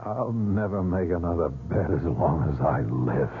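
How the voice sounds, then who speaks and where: A humbled gambler in an old radio play. He speaks in a very deep, hesitant and subdued voice, set back from the mic. The broadcast is tinny and thin, with loud hiss underneath. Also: lively intonation, measured pace. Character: weary male gambler, humbled and shaken. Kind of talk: 1970s radio drama acting. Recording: distant, tinny, noisy radio recording.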